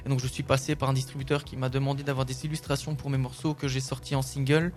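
A man's voice: mid-range voice, flat delivery, quick pace, faint music behind. A French-speaking man, a singer-songwriter, answering interview questions casually with many fillers and restarts.

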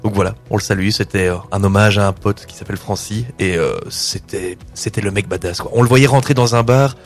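A man from a band, telling an anecdote in a casual interview, relaxed and talkative. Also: on the low side, fairly quick, faint music behind. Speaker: band member, musician.

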